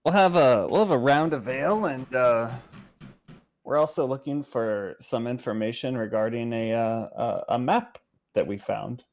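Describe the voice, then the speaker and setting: A man's voice: mid-range voice, moderate pace, darkish tone. A man chatting casually as a player in a tabletop game, hesitantly feeling out his phrases. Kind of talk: casual game roleplay chat.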